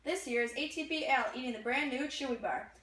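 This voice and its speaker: A woman's voice: sits high, brisk, fairly bright tone. A young woman reading scripted narration in the style of an advert. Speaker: puppet show performer reading mock adverts. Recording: echoey room, distant mic.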